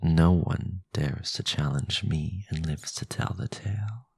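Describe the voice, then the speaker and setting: A man playing a powerful, sinister vampire lord in an ASMR roleplay, possessive, silky and condescending, with a low, gravelly, breathy voice. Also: darkish tone, no room sound, studio quality, exaggerated voice. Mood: menacing, seductive.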